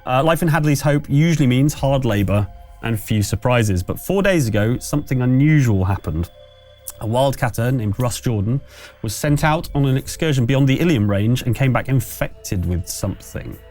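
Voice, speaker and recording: A man runs a tabletop roleplaying game as its game master. His mid-pitched voice sets the scene like a conversational storyteller, with music playing behind him. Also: animated, normal speed, darkish tone.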